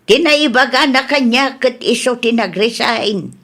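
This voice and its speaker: A woman's voice: fairly high pitch, a little slow, a bit bright. A woman playing a stern, suspicious female authority figure with an Ilocano Filipino accent, questioning bluntly in a radio drama.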